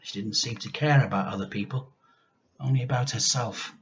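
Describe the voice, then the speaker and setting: A man reading a children's storybook aloud like a teacher, with expressive, deliberate and emphatic delivery. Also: mid-range voice, dull audio.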